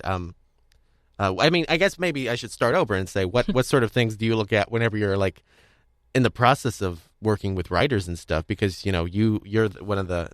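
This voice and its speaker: A man's voice: lowish voice, smooth, no room sound, clean studio sound. A man hosting a casual interview, talking in a thoughtful, hesitant and rambling way. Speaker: podcast host interviewer.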